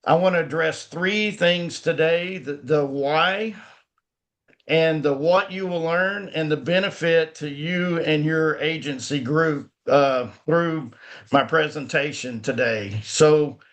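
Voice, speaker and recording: A man's voice: on the high side, slow pace, fairly bright tone. A man from Northwest Arkansas, a company founder giving a folksy conference talk over a video call, full of fillers and restarts.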